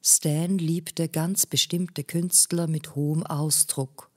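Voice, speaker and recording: A woman reading scripted literary narration in a measured way, as an audiobook narrator. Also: low-pitched, fairly even intonation.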